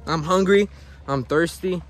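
A young man vlogging a hike with loud, slangy, joking talk, swearing and dropping Spanish phrases, filming on a phone held at arm's length outdoors. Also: fairly high pitch, measured pace. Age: young adult. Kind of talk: casual hiking vlog. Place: outdoors on a hillside trail and in a car. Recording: phone audio with clear background noise.

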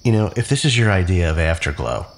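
Actor as sardonic man, arrogant, deep voice, very animated, faint ambience. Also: fast talker, slight background noise. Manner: flippant, sardonic. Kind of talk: audio drama acting.

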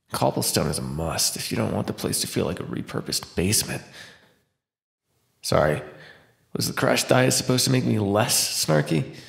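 A man's voice: fairly low pitch, gravelly. A man playing a defiant captive vampire in an ASMR roleplay, talking with sarcastic, taunting flippancy. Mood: sardonic. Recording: roomy echo.

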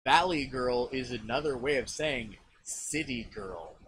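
A young American man livestreaming a game, chatting in an expressive, sweary, teasing way. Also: medium pitch, a little slow, a little hiss or hum. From California, USA. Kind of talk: casual banter while streaming a game. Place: indoor room, seated in armchair.

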